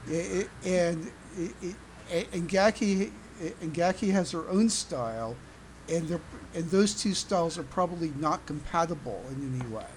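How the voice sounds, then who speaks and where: A podcast co-host with a mid-pitched voice argues in a casual fan debate. The delivery is halting, with repeated words, over faint background noise. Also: darkish tone.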